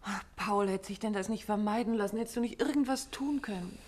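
An actress playing a lively, chatty wife in a 1950s radio play, speaking in a natural, conversational way. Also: female voice, medium pitch, fairly quick, a little hiss or hum, lightly acted. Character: chatty, concerned wife of a detective.

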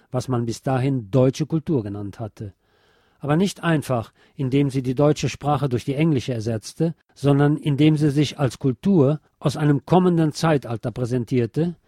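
Guy reading a nonfiction book on radio, mellow voice, kinda measured and bookish, very dry sound. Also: mid-range voice, dry recording. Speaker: radio presenter.

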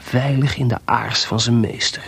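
An actor playing a proud, jealous composer with a sarcastic, theatrical delivery in a radio play. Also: male voice, mid-range voice, steady tone, moderate pace, creaky, a bit bright, slightly rough, no echo, full character voice. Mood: wry, reflective. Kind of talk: radio drama acting.

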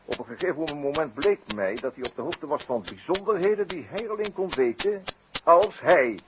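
An actor playing a sly, mocking French police detective in a 1960s Dutch radio play, speaking in a wry, theatrical, deliberate way. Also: male voice, a little high, animated, lightly acted. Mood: mocking, accusing. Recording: very noisy, clicky 1960s radio recording.

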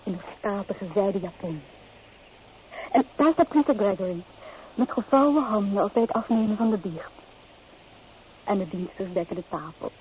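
A muffled, slightly noisy old radio recording carries an actress as a young bride-to-be in a drama. She has a medium-pitched female voice and an earnest, dreamy manner, expressive and gently reproachful. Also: moderate pace, long pauses, natural voice. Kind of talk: old Dutch radio play acting.

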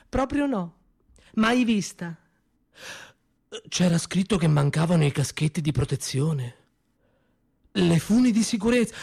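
A man playing a sly secretary feigning innocence. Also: high-pitched. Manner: ironic, mock-innocent denials with measured pauses. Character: male office secretary feigning ignorance, sly. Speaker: stage actor. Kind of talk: theatrical monologue for radio theatre.

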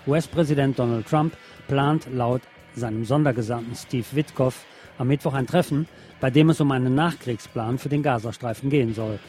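A man reading scripted news in a measured, formal manner. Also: mid-range voice, darkish tone, soft background music. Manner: measured, formal read-out delivery. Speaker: news reader.

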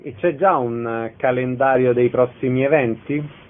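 A man's voice: medium pitch, steady tone, moderate pace, narrow, tinny audio. A man hosting a radio programme, asking questions and announcing events. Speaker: radio host, interviewer.

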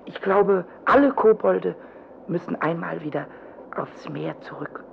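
A man's voice: high-pitched, a little slow, vocal fry, exaggerated voice. A male actor playing a small, mischievous, childlike kobold in a German radio play, stammering eagerly and breaking into giggles. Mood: subdued, earnest. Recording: muffled old recording with some noise.

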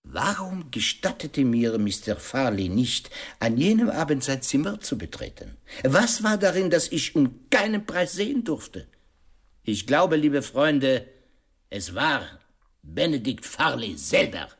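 A shrewd detective in a radio play, precise and sure of himself. His voice is slightly rough and husky, swinging widely in pitch as he builds dramatic pauses and pointed questions. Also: sing-song, heavily acted. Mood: triumphant. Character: shrewd, theatrical male detective, self-assured and precise. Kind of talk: radio drama monologue, explaining a case.

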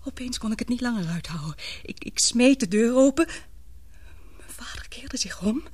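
An actress playing an anxious but brave, tender young woman in a radio drama, with breathy, emotional, hesitant delivery. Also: fairly high pitch, animated, normal speed, darkish tone, no room sound. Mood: frightened.

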